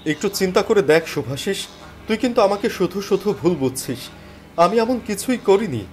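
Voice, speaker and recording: A man voicing a desperate, imploring job seeker in an animated thriller. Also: high-pitched, normal speed, soft background music, pitched above the performer's own voice. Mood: desperate pleading. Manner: imploring, flustered. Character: desperate, pleading young male job seeker.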